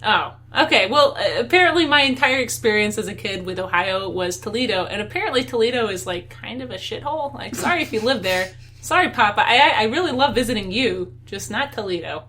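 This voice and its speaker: A woman's voice: mid-range voice, lively intonation, brisk, pushed and bright. A woman co-hosting a podcast in casual chat, rambling and laughing often. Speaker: podcast co-host.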